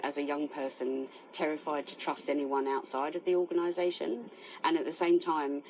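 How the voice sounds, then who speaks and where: A woman speaking as an interviewee, giving personal testimony. Her delivery is serious and halting, and there is faint background noise. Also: sits low, poor audio.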